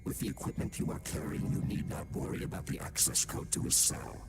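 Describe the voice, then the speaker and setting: An actor playing a sinister, commanding villain in a video game, giving orders in an ominous, deliberate way. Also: male voice, music in the background, natural voice. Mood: menacing. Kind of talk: video game cutscene acting.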